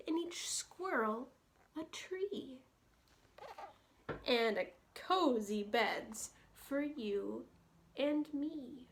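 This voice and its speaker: A woman with a high voice leads a children's story time. She takes her time, with a very slow delivery. Her manner is playful and she speaks straight to her young listeners. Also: a little hiss or hum. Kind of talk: picture-book story time with read-alouds and sing-along.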